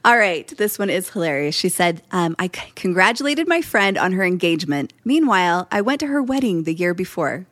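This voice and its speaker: A woman's voice: on the low side, big pitch swings, a little fast, hardly any pauses, fairly bright tone, no echo. A woman in her forties hosting a podcast, upbeat and chatty, laughing often. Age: 40s. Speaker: podcast host. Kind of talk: casual podcast chat.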